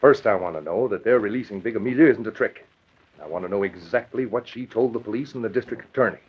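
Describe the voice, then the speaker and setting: An actor playing a cool, sardonic, commanding crime boss in an old radio drama. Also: male voice, on the low side, fast talker, slightly rough. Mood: condescending, commanding. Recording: dull lo-fi old radio recording.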